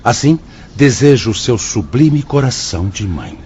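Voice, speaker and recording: An actor with a Brazilian accent playing a solemn, benevolent spirit director in a radio drama, speaking formally and with authority. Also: male voice, medium pitch, big pitch swings, measured pace, darkish tone. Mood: resolute. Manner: formal, declamatory. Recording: dull lo-fi audio, faint noise.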